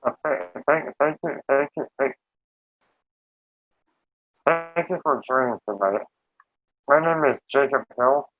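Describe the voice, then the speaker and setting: A man's voice: medium pitch, slow pace, pauses often, no echo. Man, 20s, panelist, stammering, tinny phone-like audio, dry. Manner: stammering restarts.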